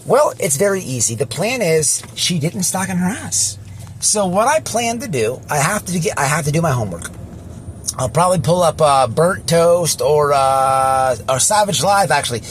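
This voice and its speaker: A man hosting casual, rambling online commentary, halting and repeating words, with slangy, sweary phrasing. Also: fairly high pitch, sing-song, a little hiss or hum. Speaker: YouTube commentary host.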